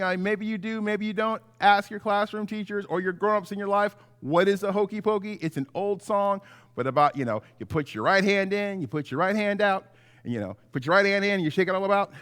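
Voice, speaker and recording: Guy pastor doing story time for kids, really animated up and down, stretches big words, echoey hall. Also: sits high. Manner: theatrical, drawn-out emphasis on key words. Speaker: pastor telling stories to children. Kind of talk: animated children's story time, sermon-like lesson. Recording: echoey hall with long reverb.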